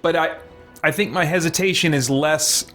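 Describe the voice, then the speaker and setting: A man's voice: fairly high pitch, moderate pace, fairly bright tone. A man hosting a game let's-play, chatting casually and reflectively to viewers, thinking aloud in hesitant, looping, qualified sentences. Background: background music.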